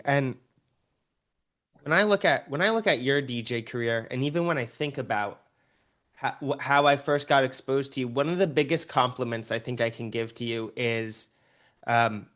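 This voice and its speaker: A man's voice: medium pitch, fairly bright tone. Sex: man. Role: admiring podcast host. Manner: admiring, enthusiastic questioner. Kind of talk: casual interview chat.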